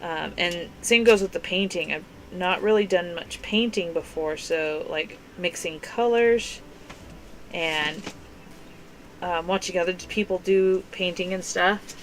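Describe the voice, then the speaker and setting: A woman's voice: fairly low pitch, measured pace, slight background noise, low-quality recording. A young woman hosting a crafting stream, chatting casually and rambling with lots of fillers. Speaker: crafting channel host. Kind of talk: casual chat while crafting.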